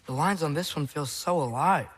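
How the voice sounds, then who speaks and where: A man's voice: on the high side, moderate pace, a bit bright, husky. A young male actor playing an earnest, curious, slightly awkward teenage boy in a video game, talking casually and hesitantly. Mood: admiring. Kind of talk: naturalistic video game acting.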